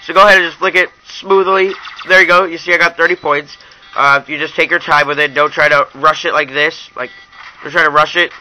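Bright-voiced young man doing app review. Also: on the high side, steady tone, a little fast, slight background noise, poor audio. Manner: informal, addresses viewers as you guys. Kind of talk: casual hands-on app review narration.

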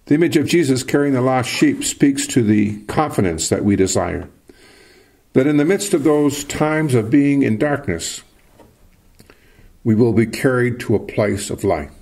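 An older man, a priest from Canada, giving a sermon in a slow, measured preaching cadence with a husky voice. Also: medium pitch, animated, a little mellow.

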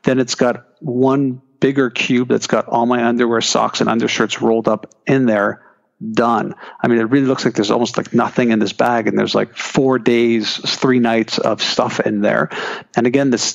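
Man, interview guest, chatty, gravelly, muffled audio. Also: mid-range voice, fairly even intonation, normal speed. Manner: chatty, many restarts and fillers. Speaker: guest, keynote speaker. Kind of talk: casual interview talk.

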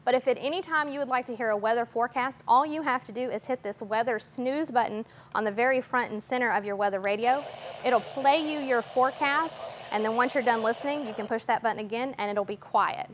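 A young woman giving an orderly, step-by-step instructional demonstration in a clear explaining manner. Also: fairly high pitch. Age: young adult. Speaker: guest demonstrator. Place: TV station weather office, indoors. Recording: noticeable background noise.

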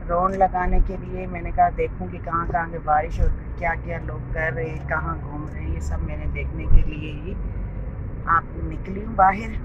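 A woman's voice: deep voice, little pitch movement, normal speed, dull audio. A woman with a Pakistani Urdu accent, chatting casually to viewers as she films from a car. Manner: chatty, showing viewers around. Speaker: vlogger. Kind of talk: casual vlog narration. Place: inside a car in heavy rain. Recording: loud rain and road noise.

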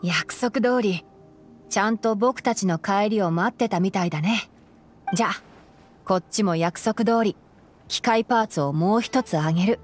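Casting: woman as boyish young ranger scholar, mid-range, gentle, analytical, anime game style. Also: mid-range voice, soft background music, lightly acted. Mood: calm, kindly. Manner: measured, explanatory, soft-spoken. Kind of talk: Japanese anime game voice acting.

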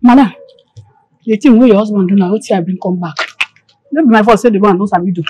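A woman's voice: mid-range voice, quick pace, warm tone, breathy edge, natural voice. An actress with a Nigerian accent playing a brash, defiant young woman in a confrontational, taunting film drama role. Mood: defensive. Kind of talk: film drama acting in Pidgin.

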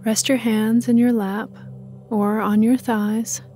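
A woman leads a guided meditation at a very unhurried pace. Her medium-pitched voice is mellow and relaxed, calm and measured in delivery. Soft music plays behind her.

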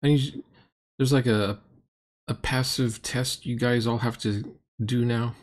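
A man's voice: medium pitch, fairly even intonation, slow. A middle-aged man giving unscripted, exasperated and sarcastic commentary as the host of a reaction video. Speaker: reaction video host critiquing a role-playing session.

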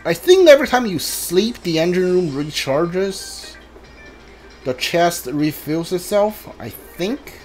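A man's voice: high voice, sing-song, slow pace. A man giving casual video game playthrough commentary, mumbling his thoughts aloud as he plays. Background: game music.

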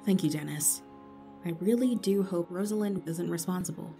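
A woman's voice: on the low side, normal speed, mellow, soft background music, natural voice. A woman acting a suspicious, wry police investigator in an audio drama, matter-of-fact and dry.

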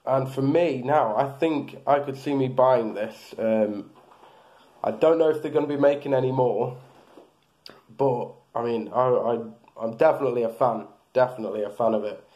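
A man's voice: medium pitch, normal speed, mellow. A man in his thirties casually reviewing beard products, rambling with lots of fillers and restarts, filmed close in a small room.

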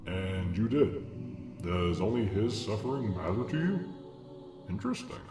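A man playing a cold, clinical monster scientist in a comic dub, speaking formally and deliberately with hidden weariness. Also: fairly low pitch, big pitch swings. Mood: cold, detached. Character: cold, clinical male mad scientist monster, secretly weary. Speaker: scientist experimenting on his creations. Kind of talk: comic dub dramatic acting. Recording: distant echoey voice under loud music.

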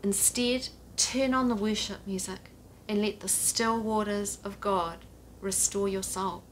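A middle-aged woman preaches straight to the camera from a sofa in her living room, earnest and direct, her voice sitting at an ordinary middle pitch. A faint low rumble runs under the recording. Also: fairly even intonation, unhurried, rough recording. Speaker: pastor. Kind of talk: home church sermon to camera. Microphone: camera at a few feet, head and shoulders framing.

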